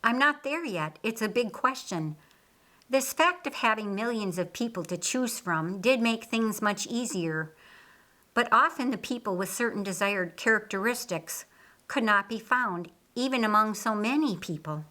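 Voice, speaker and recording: A woman voicing a patient, authoritative male teacher in an audiobook, explaining in a measured, didactic way. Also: mid-range voice, animated, sharp tone. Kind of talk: audiobook character dialogue.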